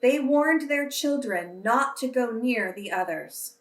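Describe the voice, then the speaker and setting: A woman reading aloud to children as a storyteller, with a deliberate, simple delivery. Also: on the high side, animated. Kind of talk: children's picture book read-aloud with casual introduction. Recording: roomy echo, distant microphone.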